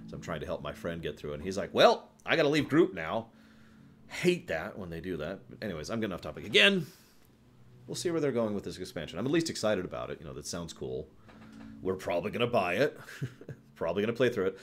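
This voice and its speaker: A man's voice: low-pitched, very animated, fast talker, a bit bright, slight background noise. A man hosting a livestream, talking casually about games to a live chat in an emphatic, rambling way, often repeating phrases for stress. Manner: rambling, digressive. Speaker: livestream host.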